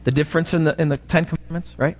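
A male pastor with an American accent and a slightly raspy voice, preaching a sermon and often checking in with short questions to the congregation. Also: on the high side, steady tone, fast. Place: church, preaching live. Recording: live church recording, long hall reverb.

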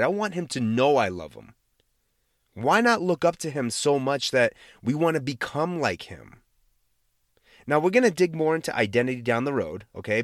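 A male podcast host with an American accent gives a casual devotional talk in a very lively, swooping voice. He is conversational and emphatic, repeating words to drive points home. The recording is close and dry. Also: mid-range voice, no echo. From Boston area, United States.

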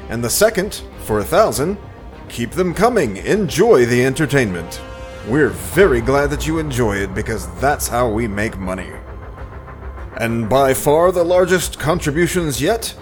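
A man hosts a fiction podcast with a theatrical, announcer-like read. His pitch is lively and swings around a middle register, with music playing clearly behind him. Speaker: podcast host and presenter. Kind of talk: scripted narration of listener credits and story recap. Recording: loud music under the voice.

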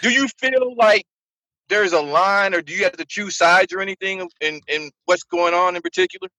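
Brief: opinionated male co-host, bright tense voice, dry close mic. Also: high voice, moderate pace, no room sound, low-quality recording. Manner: fervent, opinionated. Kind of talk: casual podcast chat.

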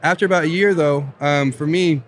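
A man's voice: a little high, fairly quick. A man in his late twenties from Southern Virginia, a guest telling his career story in a casual podcast chat, upbeat and laughing often. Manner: upbeat, chatty, laughs often, says "right?".

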